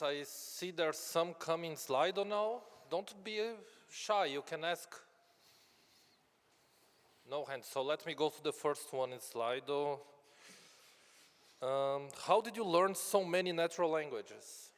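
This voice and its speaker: A man's voice: fairly high pitch, measured pace. A man moderating a conference Q&A in a casual manner, reading audience questions aloud. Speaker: session moderator. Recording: reverberant conference hall.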